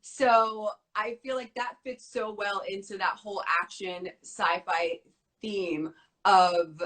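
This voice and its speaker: A young woman chatting as an interview guest, her voice strained and a little worn because she is losing it. She talks fast and bubbly, with plenty of filler words. Her pitch sits in the middle. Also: fairly even intonation, a bit bright. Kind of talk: casual interview chat.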